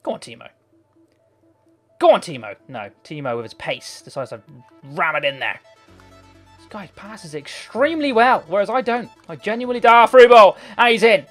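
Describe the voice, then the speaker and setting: Young guy losing his voice, commentating his own match, mumbly then yelling, music on low behind. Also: moderate pace. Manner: excitable, swings from muttering to shouting. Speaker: gaming commentator. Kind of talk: live game commentary. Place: hot bedroom. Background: background music.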